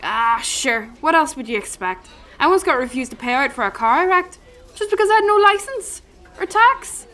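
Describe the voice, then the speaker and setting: An Irish actress playing a scatterbrained, cheerfully blunt young mechanic in a comic audio drama. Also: female voice, high voice, sing-song, moderate pace, bright, forceful tone, heavily acted. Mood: blithe. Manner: blithe, comically earnest.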